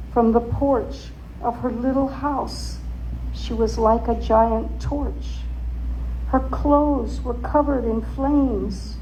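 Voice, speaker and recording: A woman giving a theatrical, suspenseful dramatic reading of a story, with deliberate emphasis. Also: fairly high pitch, warm tone, slight background noise, rough recording. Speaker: author and storyteller.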